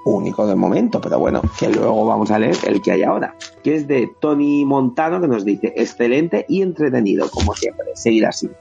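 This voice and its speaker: A man's voice: medium pitch, big pitch swings, fairly quick, creaky, warm tone. A man with a Spanish accent from Spain hosting a podcast, chatting casually and reading out listener comments. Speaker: podcast host. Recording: dull lo-fi sound, faint music bed.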